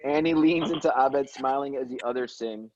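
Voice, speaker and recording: A man's voice: medium pitch, normal speed, a little mellow, lo-fi sound. A man reading scripted stage directions as narrator of a table read.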